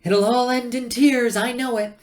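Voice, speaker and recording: A man's voice: very high-pitched, steady tone, normal speed, voice pushed up. A man voicing a fussy, motherly female ship computer with a bossy, sing-song manner. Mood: wounded. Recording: roomy echo.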